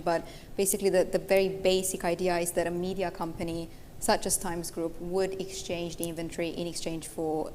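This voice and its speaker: A woman moderating a conference panel in a brisk, steady way. Her voice is lowish and very flat in tone, with a long hall reverb behind it. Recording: hall reverb with faint noise.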